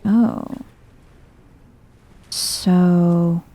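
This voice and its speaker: A soft-spoken woman giving chill, hushed ASMR commentary while thinking aloud through a video game. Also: fairly low pitch, fairly even intonation, drawn-out words, low-quality recording.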